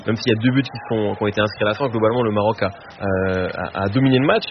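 A man hosting a live football show in casual chat, lively and full of fillers and restarts. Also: lowish voice, very fast. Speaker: host of a live match show. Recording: muffled stream with background noise.

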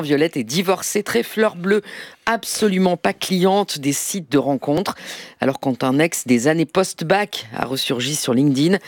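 A man in a radio studio reading a press review in a lively, emphatic way. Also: fairly high pitch, dry recording. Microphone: studio microphone.

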